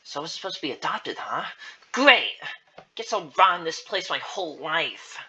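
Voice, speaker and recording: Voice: mid-range voice, animated, brisk, pushed and bright, soft background music. Shy, glum orphan creature, read by a storyteller. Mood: bitter.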